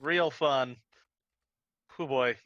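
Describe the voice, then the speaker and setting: Wry guy at a dnd table, bright lively voice, chuckly, joking around, mic a bit muffled. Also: medium pitch, very slow, no echo. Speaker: tabletop game player. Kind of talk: casual, joking table chat.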